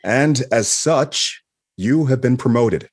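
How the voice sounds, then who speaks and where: Actor as calm admiral guy in a radio play, husky, reassuring, medium pitch. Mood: reassuring, congratulatory. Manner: measured, reassuring. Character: calm, benevolent male admiral in space. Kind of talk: audio drama acting.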